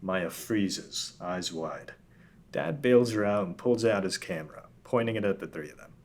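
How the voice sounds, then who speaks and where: A man's voice: low voice, lively intonation, moderate pace. A man narrating a script at a table read, reading the stage directions plainly.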